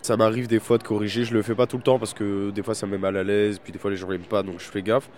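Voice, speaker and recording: A man's voice: on the low side, level tone, fast, darkish tone. A young man from France giving casual, thoughtful answers as a student interviewee. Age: young adult. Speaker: interviewee, political science student.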